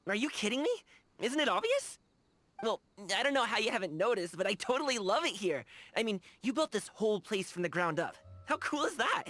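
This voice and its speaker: A woman's voice: medium pitch, very animated, fairly quick, a bit bright. A woman voicing an upbeat, friendly young man in a video game, talking in a casual, slangy, enthusiastic way. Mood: excited, playful. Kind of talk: video game character dialogue.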